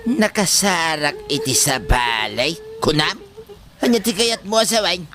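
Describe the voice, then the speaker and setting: An actor playing a hot-headed old father in a comedy drama, scolding and firing sharp questions. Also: on the high side, animated, a little slow. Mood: puzzled questioning.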